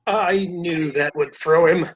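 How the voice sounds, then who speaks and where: Casting: performer for curt, deadpan grouch in stage-reading comedy, medium pitch, muffled lo-fi recording. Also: slow pace, lightly acted. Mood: grumpy, dismissive. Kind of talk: readers theater dialogue. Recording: dull, lo-fi sound.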